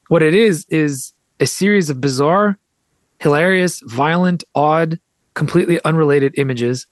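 A man's voice: a little high, moderate pace, no room sound. An American man explaining things in a conversational interview as a guest, articulate and discursive, with frequent asides. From United States. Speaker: podcast guest, author and translator.

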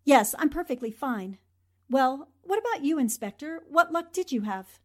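A high-voiced, plucky woman reporter acted by a woman in a forties radio play. She is a composed investigator who speaks with purpose. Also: lively intonation, normal speed. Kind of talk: 1940s radio drama acting.

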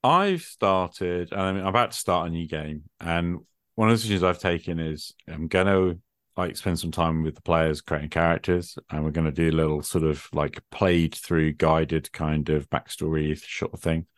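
A man's voice: deep voice, moderate pace, no room sound, studio quality. A man chatting casually as a gaming podcast host, thinking aloud with plenty of "like" and "you know". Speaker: podcast host and game master. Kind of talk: casual conversation about tabletop gaming.

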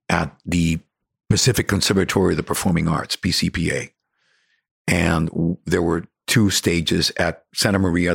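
A man in his sixties from Cuba with a Cuban accent, an actor telling stories in a casual chat, animated and laughing often. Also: sits low, gravelly, slightly rough, dry recording, studio quality. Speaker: actor guest.

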